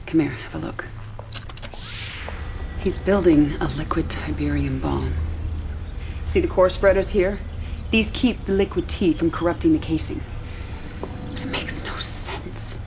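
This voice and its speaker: A very low-pitched, intense woman commander from a war game cutscene. She is guarded and ambitious, speaking with controlled urgency over faint background noise. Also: unhurried, pauses often. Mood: guarded, suspicious. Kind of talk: dramatic video game cutscene acting.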